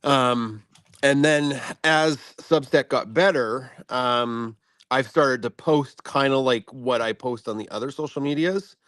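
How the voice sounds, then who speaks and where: Guy guesting on a casual chat, mid-pitched voice, halting and self-effacing, very dry sound. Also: fairly bright tone, no room sound. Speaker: guest speaker, science teacher and content creator.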